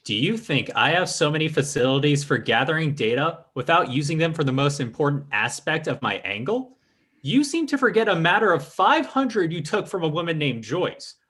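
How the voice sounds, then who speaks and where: An actor playing a sly, imperious fake psychic and crime boss in an old-time radio drama, speaking in a pompous, formal, commanding way. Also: male voice, a little high, sing-song, moderate pace, a bit bright, husky, full character voice. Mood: stern, domineering.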